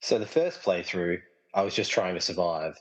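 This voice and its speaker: Echoing low husky actor as an easygoing guy. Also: moderate pace, dull audio. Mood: casual, explanatory. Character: easygoing movie-loving man trapped inside a film. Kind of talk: amateur comedy radio play dialogue. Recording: long reverberant hall echo.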